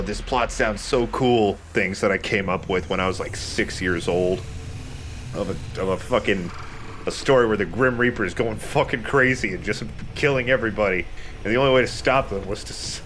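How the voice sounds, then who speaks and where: A man giving casual, joking let's play commentary, wry and quick to swear. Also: lowish voice, fairly bright tone, a little hiss or hum.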